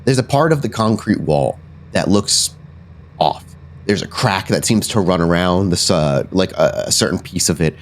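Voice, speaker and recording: Man, lowish pitch, game master, descriptive improvised narration, conversational delivery. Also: expressive, normal speed, slight background noise.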